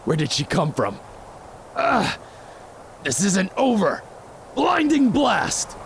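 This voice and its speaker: An actor playing a mocking, theatrical masked villain in an audio drama. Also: male voice, high voice, wildly swooping pitch, takes it slowly, vocal fry, a bit bright, a little hiss or hum, exaggerated voice. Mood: pained fury. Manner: mocking, theatrical, laughing at foes. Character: masked male villain thief, taunting and swaggering.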